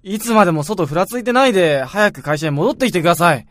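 An actor playing an earnest, excitable and gullible young junior researcher in a radio drama. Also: male voice, sits high, quick pace, dry recording, clean studio sound. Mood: urgent.

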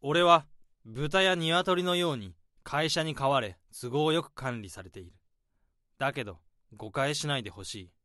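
A man in his thirties narrating a radio drama in the first person, in a slow, subdued, deadpan way. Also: on the high side, no room sound, studio quality. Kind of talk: radio drama inner monologue.

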